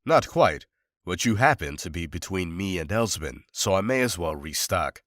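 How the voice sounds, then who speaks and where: Man as a deep, deadpan monster hunter. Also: moderate pace, no room sound, clean studio sound. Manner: deadpan, terse. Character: stoic, dry-witted monster hunter man. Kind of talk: visual novel voice acting.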